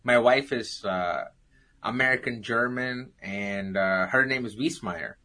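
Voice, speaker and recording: An Indian-accented man with a bright, forceful voice tells his life story as a guest. He jokes about himself while he narrates. His pitch sits in the middle for a man. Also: a little slow. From South India. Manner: storytelling, jokey, self-deprecating.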